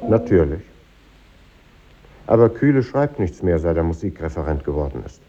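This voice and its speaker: An actor playing a brooding, embittered composer in a 1950s German radio drama, with a dark, husky voice and terse delivery. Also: male voice, low voice, very animated, normal speed, over music. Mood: bitter. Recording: muffled old radio recording.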